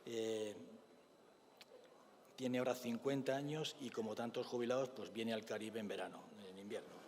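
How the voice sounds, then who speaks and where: A man with a Spanish accent, a clinician giving a forum talk in a measured, thoughtful way, often pausing with hesitation fillers. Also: medium pitch, fairly even intonation, big-room sound, background noise. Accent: Spain. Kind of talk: forum lecture with storytelling.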